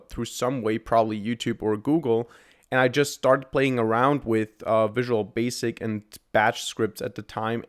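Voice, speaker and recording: A man, a programming YouTuber answering viewer questions to camera in an explaining way, often pausing on "uh". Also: mid-range voice. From Austria. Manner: explanatory, frequent "uh" fillers. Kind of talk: Q&A talk to camera.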